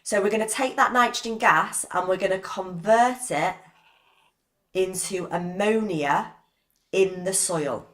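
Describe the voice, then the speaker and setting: A woman, hoarse from a cold, giving a live-streamed biology revision lesson and chatting with viewers by name. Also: mid-range voice, measured pace, fairly bright tone. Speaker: biology teacher.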